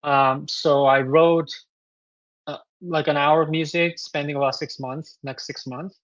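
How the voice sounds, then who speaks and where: Korean-accented male composer, slightly high voice, reflective interview guest, dry close sound. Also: steady tone, a little slow, a bit bright, no room sound, highs cut off. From South Korea. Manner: thoughtful, many fillers and restarts. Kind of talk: interview answers.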